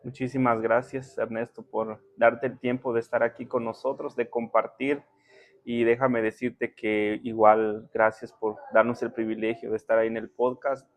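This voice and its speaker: A young man from Guatemala whose first language is K'iche', a podcast guest telling his life story humbly and thankfully, with many pauses. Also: medium pitch, little pitch movement. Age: young adult. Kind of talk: conversational interview.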